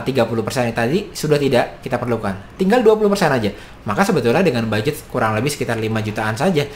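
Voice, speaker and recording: A man in his thirties from Indonesia, a business coach explaining startup costs to camera in a small home studio, brisk and gesturing, with frequent fillers. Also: medium pitch, a little hiss or hum.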